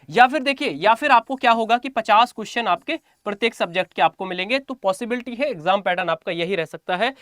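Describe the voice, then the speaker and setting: A man's voice: high voice, fairly bright tone, clean tone, dry recording, clean studio sound. A man giving an informal exam-update lesson to students as a coaching teacher, repeating phrases for emphasis.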